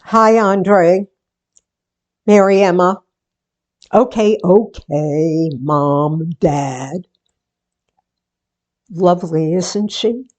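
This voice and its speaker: A woman acts out a chatty, wry middle-aged woman in a radio drama. She takes her time with each line, speaking at a very slow pace. Her delivery is theatrical and musing, as in a one-sided conversation. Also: fairly low pitch, a little mellow. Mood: musing, wistful. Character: wry, chatty grown woman running a book exchange.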